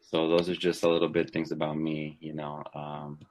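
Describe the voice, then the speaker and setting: A man's voice: rumbling low, completely flat delivery, normal speed, a little hiss or hum. A man in his twenties from Texas, chatting cheerfully as a guest on a laptop video call. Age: 20s. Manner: upbeat, chatty, with soft laughs. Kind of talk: casual video-call chat.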